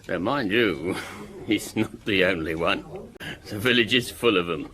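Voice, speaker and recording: An actor playing a gossipy, sardonic village innkeeper in a radio drama, with a dry, knowing, teasing manner. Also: male voice, low-pitched, measured pace, a crowd in the background.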